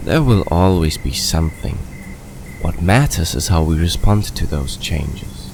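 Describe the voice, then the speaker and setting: Audio drama role: aged wise dragon in human form male actor, extremely deep and creaky, slow, solemn, weary; noisy recording. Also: expressive, full character voice. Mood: wise, gentle. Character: ancient weary dragon mentor in old man's form. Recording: clearly noisy background.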